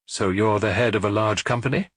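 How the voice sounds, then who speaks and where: A man's voice: fairly low pitch, steady tone, brisk, fairly bright tone, no room sound. A man voicing a French police inspector who questions a suspect firmly and insistently, yet with some sympathy, in an audiobook detective story. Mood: probing.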